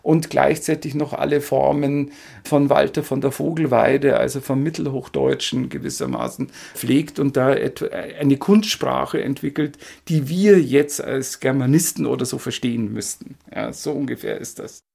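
A male scholar from Switzerland explains his field in an interview. His voice sits at a medium pitch and has a strong creak, with spontaneous, unscripted phrasing. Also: lively intonation, moderate pace, a little mellow. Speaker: academic expert, classical philologist.

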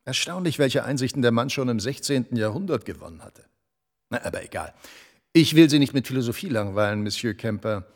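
A suave scheming EU boss. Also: male voice, medium pitch, lively intonation, brisk. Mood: smooth. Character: smooth, scheming male EU director-general. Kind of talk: TV drama acting.

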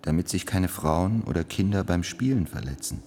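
An actor playing a terse, self-justifying defendant, speaking quietly and deliberately in a radio play. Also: male voice, low-pitched, expressive, very noisy. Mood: quiet self-justification. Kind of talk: radio play courtroom testimony.

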